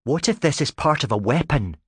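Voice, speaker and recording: A man voicing a sharp, bitter young woman. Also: mid-range voice, expressive, a little fast, breathy edge, no room sound, clean studio sound, pitched above the performer's own voice. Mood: worried. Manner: bitter, questioning.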